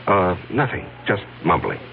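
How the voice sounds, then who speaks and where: An actor playing a guarded, troubled young executive in a vintage radio drama, speaking hesitantly with an American accent. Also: male voice, deep voice, wildly swooping pitch, vocal fry, phone-quality sound, slight background noise, exaggerated voice. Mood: distracted, hesitant. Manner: hesitant, halting. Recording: old radio broadcast, thin band, background babble.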